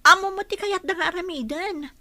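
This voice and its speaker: A woman's voice: extremely high pitch, a little fast, bright, forceful tone, no room sound. An actress playing a concerned young woman, a worried friend, pleading earnestly in a radio drama. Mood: pleading, hurt. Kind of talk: Ilocano radio drama acting.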